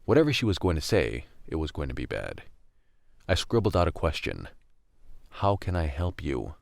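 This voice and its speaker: A man acting as a jaded scavenger narrating his life in a broken world. His voice sits extremely low, with a gravelly fry. He tells the radio drama story in a wry, world-weary, matter-of-fact way. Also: huge pitch swings, normal speed, no room sound, studio quality, heavily acted. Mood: dry, resigned. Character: jaded male scavenger in a silent post-virus America, narrating.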